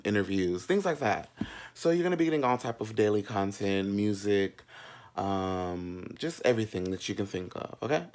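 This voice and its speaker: A young American man with a voice a little on the low side hosts a casual vlog. He chats straight to his viewers as if swapping stories with friends. His delivery is sassy and gossipy, playful and full of slang. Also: darkish tone. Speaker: vlog host. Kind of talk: casual chatty storytelling to viewers.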